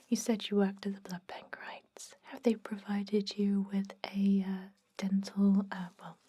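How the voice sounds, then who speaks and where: A woman playing a chatty but gently stern vampire dentist in a whispered ASMR roleplay, rambling in a confiding way with a breathy voice. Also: medium pitch. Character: chatty long-lived female vampire dentist, garlic-obsessed, gently stern. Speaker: ASMR performer.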